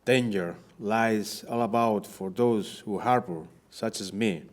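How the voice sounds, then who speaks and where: A man playing a wounded, weary, grave Spanish captain on the run in a period audio drama, with formal, measured speech. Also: fairly low pitch. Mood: solemn, grateful. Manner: formal, measured, archaic phrasing.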